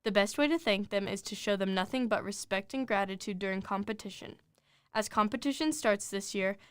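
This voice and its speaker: A woman's voice: mid-range voice, clean tone, no room sound. A young woman, a student athlete, reading a scripted public service announcement.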